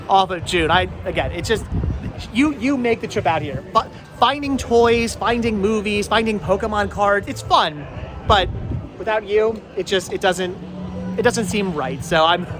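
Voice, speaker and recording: A man hosting a casual vlog, chatting in a rambling way and repeating words for emphasis. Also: high-pitched. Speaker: vlogger host. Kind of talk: casual vlog chat to camera and friends.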